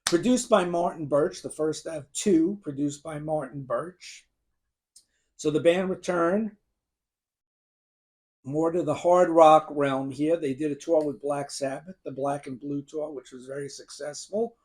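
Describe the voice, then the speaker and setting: A man in his fifties with a gruff, worn voice, enthusiastically ranking albums and listing songs to the camera. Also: a little high, unhurried, a little mellow. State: gruff, strained voice. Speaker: music video host ranking albums. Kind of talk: casual talk to camera.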